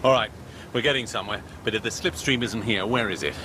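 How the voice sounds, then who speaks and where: An actor in a radio drama playing an impatient, dry-witted British commando officer with a clipped, commanding English accent. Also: male voice, lowish voice, quick pace, pushed and bright, a little hiss or hum. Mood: brisk.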